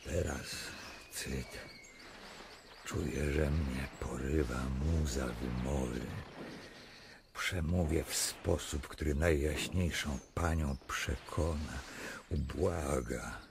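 An actor playing an eloquent, grandiose king with deliberate, pompous delivery in a radio drama. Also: very deep voice, exaggerated voice. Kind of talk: theatrical radio drama acting. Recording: clearly noisy background ambience.

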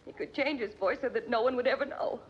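An actress playing a distraught, pleading wife accused of murder, in flustered 1940s radio acting on a muffled old recording. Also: female voice, on the high side, fast talker, slightly rough. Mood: pleading, anxious. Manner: pleading, flustered, breathless protests. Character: distraught wife accused of killing her husband.

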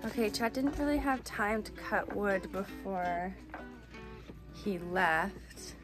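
A woman's voice: mid-range voice, expressive, slow pace, loud music behind the voice. A woman chatting casually to camera as a family vlogger, recorded on a handheld camera. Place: small family home and yard outdoors. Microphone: camera or phone at arm's length.

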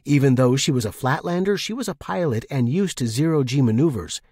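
Husky man narrating fiction, close and dry. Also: medium pitch, expressive, a little fast, a little mellow, no room sound. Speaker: story narrator. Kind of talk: scripted first-person fiction narration.

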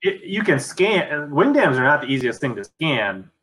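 A man's voice: on the high side, very animated, normal speed, fairly bright tone, poor audio. Conversational male host on a video call. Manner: conversational, thinks aloud, asks probing questions. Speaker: podcast host. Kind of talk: casual interview talk. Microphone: webcam on a video call.

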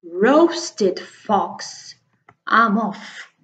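A woman's voice: medium pitch, animated, very slow, a bit bright. A woman voicing a sly fox in a storybook reading. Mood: startled. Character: sly fox, eager then startled. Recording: dull, lo-fi recording.

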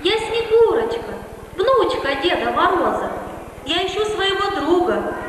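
A gentle young snow maiden acted by a woman in a stage fairy tale. Her voice is very high and melodic, ringing through a reverberant hall with some noise on the recording. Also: big pitch swings, a little slow, exaggerated voice. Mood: earnest, wistful. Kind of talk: children's New Year stage play acting. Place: theatre stage, recorded from the audience. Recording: echoey hall, noisy amateur camcorder recording.

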